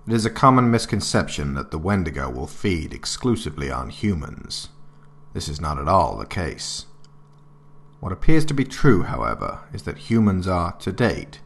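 An actor playing a grave narrator reading a monster field handbook in a measured, authoritative way. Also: male voice, very deep voice, vocal fry, breathy edge, heavily acted. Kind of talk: scripted dramatic narration.

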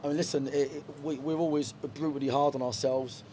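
A man's voice: on the high side, steady tone, brisk, mellow. Middle-aged man, football manager, candid rambling talk, handheld mic, faint outdoor noise. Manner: frank, rambling, many fillers and restarts. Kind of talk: post-match interview. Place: stadium dugout, outdoors. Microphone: handheld reporter mic.

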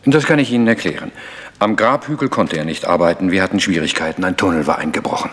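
A man's voice: sits low, quick pace, a bit bright. An actor playing a businesslike man who explains things plainly in a German radio crime drama. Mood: explaining. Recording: long reverberant hall.

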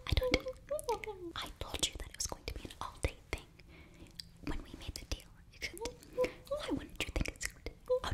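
A young woman whispering very close to the mic in an ASMR fantasy roleplay, halting, flustered and apologetic as a student sorceress. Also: very high-pitched. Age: young adult. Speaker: ASMR performer. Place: small indoor bookshelf set. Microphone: very close ear-to-ear microphone.

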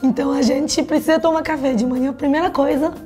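A woman's voice: high voice, fairly even intonation, fast, mellow, faint music behind. A woman in her thirties from Hunan with a Chinese accent, a native Mandarin speaker talking casually in short, simple phrases. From Hunan, China. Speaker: YouTube creator. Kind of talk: casual interview talk. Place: small office studio.

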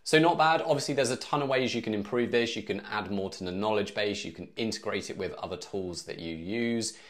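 A man in his thirties presenting a casual step-by-step software tutorial from a home office. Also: on the low side, a little fast. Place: small home office, indoors.

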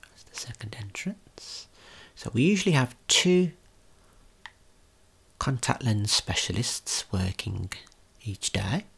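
A man giving a guided ASMR tour in a soft, breathy whisper, gently explaining without hurry. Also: mid-range voice, slight background noise.

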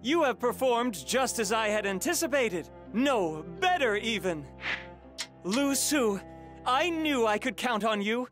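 A man's voice: very high-pitched, lively intonation, a little slow, a bit bright, full character voice. A man voicing a noble, cultured, proud young warrior-strategist in a video game, with formal and earnest delivery. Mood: proud praise. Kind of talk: theatrical video game dialogue. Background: music.

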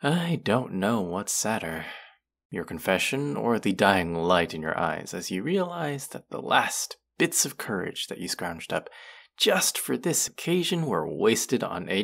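A man's voice: fairly low pitch, sing-song, unhurried, gravelly, fairly bright tone, heavily acted. A man acting a condescending, mocking young twin brother in a roleplay audio drama, with a teasing, sarcastic drawl. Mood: mocking, scornful.

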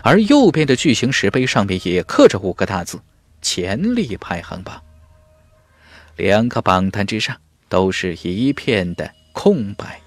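Animated male fantasy audiobook narrator. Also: fairly low pitch, no room sound. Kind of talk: dramatic scripted fantasy narration. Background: music.

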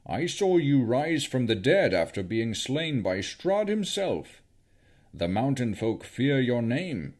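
A man's voice: mid-range voice, darkish tone, voice pushed up. A man voicing a grave male shapeshifter mentor who speaks earnestly to rally his companions. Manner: earnest and exhortative.

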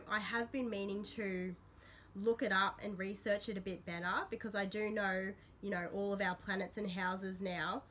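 A woman in her thirties, a little sick with a slightly croaky voice, chatting to camera in a small bedroom in a rambling way with frequent ums. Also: medium pitch, fairly bright tone, a little hiss or hum. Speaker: substitute host of a witchcraft vlog. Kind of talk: casual to-camera vlog talk. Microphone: built-in camera mic at close range.

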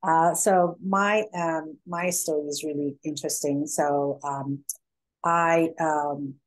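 A woman's voice: low voice, steady tone, takes it slowly. A woman in her forties from Iran, a guest speaker explaining her business on a video call, earnest with many fillers. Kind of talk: presentation and interview answers. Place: home room.

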